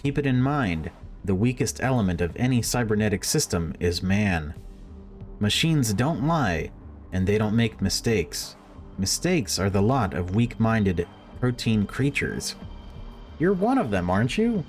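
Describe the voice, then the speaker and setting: A man's voice: fairly low pitch, sing-song, unhurried, faint music behind. A man voicing a stern, condescending official who preaches machine worship in formal sermons, read aloud from a horror visual novel. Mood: menacing, scolding.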